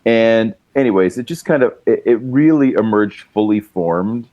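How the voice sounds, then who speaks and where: A man's voice: lowish voice, expressive, normal speed, dark tone, no room sound, dull audio. A man guest on a podcast, telling a rambling, laid-back story about writing a song, with casual swearing, over a remote call. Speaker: guest musician and writer. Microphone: remote call mic.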